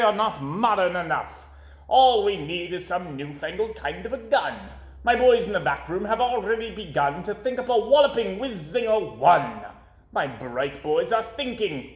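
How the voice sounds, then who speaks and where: A man's voice: sits high, pitched above the performer's own voice. A man voicing a jolly, boastful male leader of a storybook people in a raised, hearty voice, in an echoey room.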